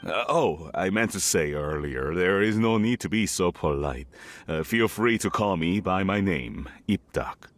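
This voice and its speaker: A man's voice: low-pitched, expressive, unhurried. A man playing a worried, stubborn middle-aged merchant father in a video game, speaking in an earnest, weary, hesitant way. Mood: humbled, polite. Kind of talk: scripted video game dialogue.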